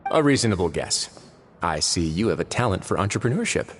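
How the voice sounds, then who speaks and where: An actor playing a composed, shrewd duke who runs a prison fortress in a video game, speaking in a measured, suave and authoritative way. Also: male voice, sits low, big pitch swings, faint music behind. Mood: composed, matter-of-fact. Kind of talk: video game character dialogue.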